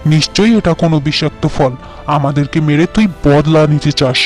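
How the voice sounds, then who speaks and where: Guy doing greedy suspicious uncle in a cartoon, blunt, highish voice. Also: fairly even intonation, normal speed, a little mellow, soft background music, close to an everyday voice. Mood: suspicious anger. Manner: distrustful, blunt.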